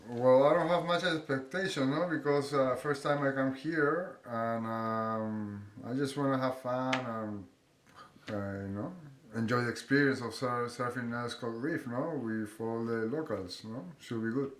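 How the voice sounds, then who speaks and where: A man's voice: mid-range voice, echoey room, slight background noise. A male surfer from Peru who speaks natively, tagging "no?" onto his sentences during a contest interview. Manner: slow, adds a questioning "no?" to his sentences.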